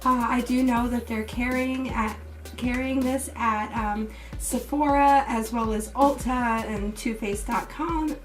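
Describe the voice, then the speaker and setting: Slightly hoarse woman vlogger, distant mic. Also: a little high, measured pace. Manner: relaxed, step-by-step, with many fillers. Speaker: beauty vlogger. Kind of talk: casual makeup tutorial and review. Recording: distant mic with music playing behind.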